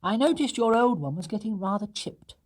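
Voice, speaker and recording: An earnest young storybook bear, acted out by a man, speaks in a raised, modest voice. He is polite and childlike, always a little apologetic, with a lively lilt. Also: high voice, a little fast, pitched above the performer's own voice.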